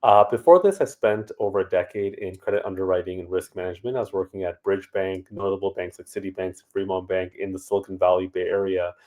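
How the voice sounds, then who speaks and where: Casting: man, very low voice, easygoing interview guest. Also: fast talker, hardly any pauses. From Canada. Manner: relaxed and conversational, many fillers. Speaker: startup founder guest. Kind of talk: casual interview answers.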